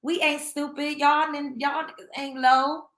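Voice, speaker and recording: A woman's voice: sits high, level tone, unhurried, fairly bright tone, echoey room. A young woman, hosting a show and giving a blunt, scolding commentary monologue that repeats its points. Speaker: show host.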